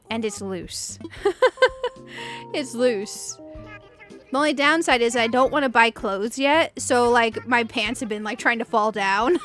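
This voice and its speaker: A woman in her late thirties with a high voice that sounds younger than her age. She rambles in a chatty, giggly way, as a streamer talking to viewers during a game. Music plays behind her. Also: fairly bright tone. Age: late 30s. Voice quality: youthful-sounding voice. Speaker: streamer and video game host. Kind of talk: casual livestream chat over video game play.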